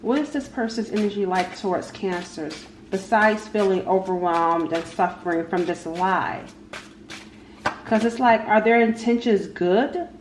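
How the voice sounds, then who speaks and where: Female tarot host, informal and improvised, repeats herself while reading cards; roomy sound with slight background noise. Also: mid-range voice. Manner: halting, repeats phrases, talks to spirit and cards. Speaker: tarot reader, channel host. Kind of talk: casual tarot card reading, unscripted. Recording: echoey room with faint background noise.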